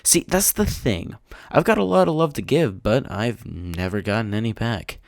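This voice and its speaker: A man's voice: fairly low pitch, lively intonation, normal speed, vocal fry, dry recording, studio quality. A man playing a bitter, despairing man in his late thirties who narrates his diary in an anguished, self-loathing audio drama style.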